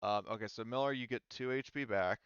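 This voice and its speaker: A man working as game master, casually explaining tabletop game rules in a halting, rambling way. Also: medium pitch, a bit bright, smooth, dry recording, muffled recording.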